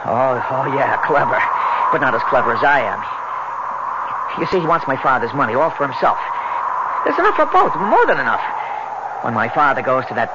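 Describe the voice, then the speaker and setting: A man's voice: mid-range voice, big pitch swings, moderate pace, long pauses, natural voice. An actor playing an unhinged, mocking gunman in a theatrical, erratic manner in a 1970s radio drama. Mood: manic, taunting. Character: unhinged gunman brother, taunting, mocking, volatile, childishly wounded. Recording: dull, very noisy vintage 1970s radio recording.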